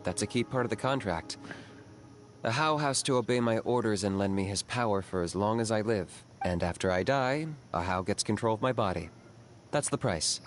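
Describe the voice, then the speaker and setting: An actor playing a calm, pragmatic young male hunter who speaks evenly and measuredly. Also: fairly low pitch, faint music behind, lightly acted. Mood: explaining. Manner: calm, measured, even-tempered.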